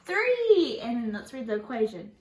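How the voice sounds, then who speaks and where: A woman schoolteacher reading a picture book to young children in an animated, sing-song way, pausing to put questions to them. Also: a little high. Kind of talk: read-aloud picture book lesson for children. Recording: echoey room, faint background noise.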